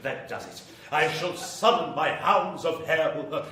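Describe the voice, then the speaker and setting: A melodramatic evil vampire lord, grandiose and easily exasperated, in an old-style horror radio play. The delivery is slow and raised in pitch, sweeping widely, in a roomy, slightly noisy live venue. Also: male voice, very animated, sharp tone, heavily acted. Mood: exasperated, menacing. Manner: hammy, grandiose, slow theatrical declaiming. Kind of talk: classic horror radio acting. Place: small live venue with audience. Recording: roomy live stage recording, background noise.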